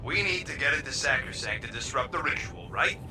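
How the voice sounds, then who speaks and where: A man's voice: medium pitch, expressive, brisk, over music, lightly acted. A man as a snarky small furry alien. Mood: scheming. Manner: snarky, streetwise. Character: brash, wisecracking small furry alien animal, a gadget tinkerer. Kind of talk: video game cutscene acting.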